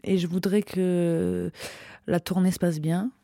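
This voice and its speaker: A woman's voice: lowish voice, little pitch movement, takes it slowly, vocal fry, dark tone, no room sound. A woman with a French accent talking casually in a radio studio interview, hesitant and full of fillers and restarts. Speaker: singer-songwriter guest. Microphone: studio microphone.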